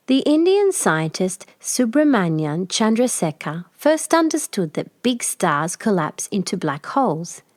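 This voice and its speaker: A woman's voice: lowish voice, dry recording, clean studio sound. A woman reading a children's picture book aloud as a scripted narration. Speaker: storybook reader.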